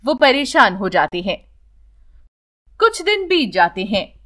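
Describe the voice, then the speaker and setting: Female storyteller for scripted children's tales; high register, very lively intonation. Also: slow pace.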